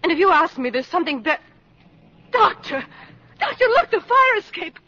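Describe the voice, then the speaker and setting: Casting: excitable nurse, very high bright voice, breathless exclamations, vintage radio drama. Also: female voice, normal speed, exaggerated voice. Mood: alarmed. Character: flustered, excitable nurse, quick to alarm. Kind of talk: 1950s radio drama acting. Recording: muffled vintage radio recording.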